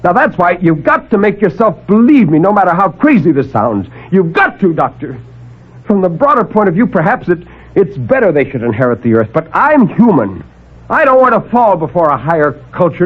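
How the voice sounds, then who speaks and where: A man's voice: high-pitched, very animated, breathy edge, exaggerated voice. Desperate, pleading man on old radio. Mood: urgent, fearful. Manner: urgent, emphatic, halting delivery. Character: desperate male escaped captive pleading to be believed. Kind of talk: 1950s radio drama acting. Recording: narrowband old AM radio recording.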